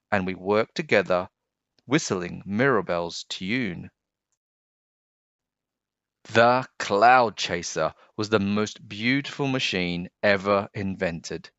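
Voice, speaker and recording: A man reading aloud to children as a storyteller, with deliberate, expressive phrasing. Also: fairly low pitch. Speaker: storybook reader, host. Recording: dull, roomy home recording.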